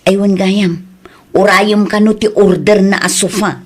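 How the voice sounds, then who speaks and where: A woman's voice: medium pitch, steady tone, a little slow, darkish tone, natural voice. Ilocano aunt, concerned and homely acted by a woman. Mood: matter-of-fact. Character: older rural Ilocano wife and aunt, concerned. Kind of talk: amateur audio drama acting, conversational.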